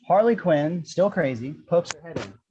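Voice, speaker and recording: Male screenplay narrator, wry, mellow tone, slightly muffled lo-fi sound. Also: a little high, animated, normal speed. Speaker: action-description reader.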